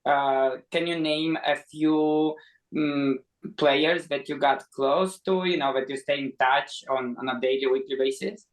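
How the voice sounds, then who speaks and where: Higher-pitched man, halting podcast interviewer. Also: steady tone, poor audio. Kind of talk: casual interview chat.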